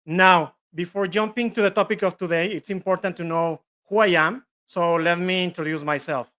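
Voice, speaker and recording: A middle-aged man, a native Spanish speaker with a Mexican accent, presents a live business training. His voice sits a little high for a man and sounds bright and forceful. He talks earnestly, steps through his points one by one, and sometimes corrects himself. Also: fairly even intonation, normal speed. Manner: earnest, self-correcting, list-like delivery.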